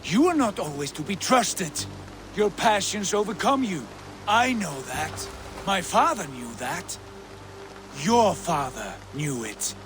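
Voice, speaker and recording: Imperious, high-pitched Viking lord. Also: male voice, sing-song, slow pace, fairly bright tone, soft background music, exaggerated voice. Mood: scornful. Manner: imperious, scolding. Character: arrogant, hot-tempered Viking lord, scolding elder brother. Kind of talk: video game cutscene acting.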